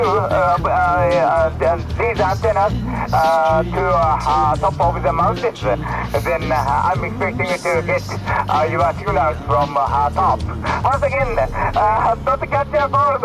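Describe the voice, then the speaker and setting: Slow, halting older Japanese man on ham radio. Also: sits high, over music, poor audio. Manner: halting, searching for English words. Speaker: amateur radio operator.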